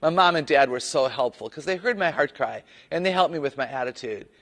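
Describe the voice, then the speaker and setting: A woman with a notably low voice tells a personal story with lively, swinging intonation. She speaks as a practiced public speaker and drops playful asides along the way. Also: brisk. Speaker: guest speaker. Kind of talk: personal story told to camera.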